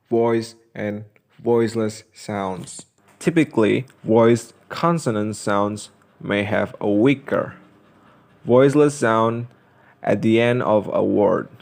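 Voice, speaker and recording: Young guy reading out a lesson script, really slow, kinda low voice, pauses mid sentence. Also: darkish tone. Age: young adult. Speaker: student presenter.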